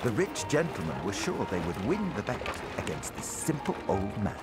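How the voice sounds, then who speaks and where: A man's voice: on the low side, very animated, normal speed. A man narrating a scripted cartoon fairytale as a storyteller. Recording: narration under loud music.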